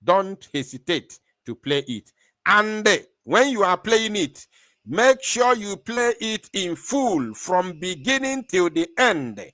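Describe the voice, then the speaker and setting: A man's voice: high-pitched, fairly bright tone, no room sound. A man hosting an online stream, talking in a defiant, taunting way, laughing often and repeating his phrases. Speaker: online show host. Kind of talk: animated live-stream monologue.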